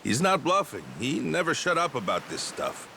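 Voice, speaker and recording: A man's voice: a little high, sing-song, moderate pace, creaky, bright, forceful tone, slight background noise, heavily acted. A man playing a wry pirate crewman in a video game, casual, slangy and sardonic. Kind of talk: video game cutscene acting.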